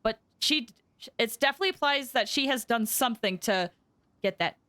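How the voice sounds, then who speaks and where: Female game streamer, bright and lively, slightly high, casual and chatty, dry close mic, soft music behind. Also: normal speed, no room sound. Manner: chatty, excitable, swoops into squeals. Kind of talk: casual live stream commentary.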